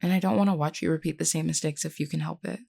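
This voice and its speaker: Woman playing a low-voiced, creaky, gentle vampire queen. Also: fairly even intonation, full character voice. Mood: regretful. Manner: measured, reassuring, formally worded. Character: gentle, candid vampire queen, young-looking but older woman. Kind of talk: audio roleplay, speaking intimately to one listener.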